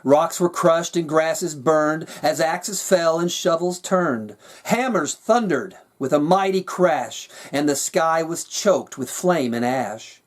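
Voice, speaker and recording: A man narrating his own rhyming fantasy story with a measured, dramatic storyteller's delivery. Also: fairly high pitch, animated. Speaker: author reading their own work.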